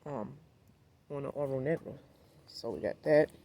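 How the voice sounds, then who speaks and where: A man with a raspy, hoarse voice from a cold, giving a laid-back, rambling walkthrough of his backyard garden and talking straight to his viewers. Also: medium pitch, normal speed, warm tone, a little hiss or hum. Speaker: gardening vlogger. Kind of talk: casual walkthrough vlog.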